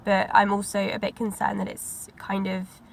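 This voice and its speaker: Gravelly congested woman. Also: mid-range voice, monotone, normal speed, a little hiss or hum. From Britain. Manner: rambling, many likes and ums. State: congested from a cold, sniffly. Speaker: book vlogger. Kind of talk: casual chatty vlog.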